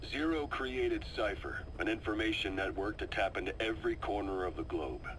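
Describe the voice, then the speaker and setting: Shrewd veteran spy, deep voice, forceful edge, coolly composed, deliberate dramatic pauses, video game briefing. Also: male voice, lively intonation, rough recording. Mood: sober, confiding. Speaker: intelligence operative briefing an old comrade. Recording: faint noise, cassette tape briefing.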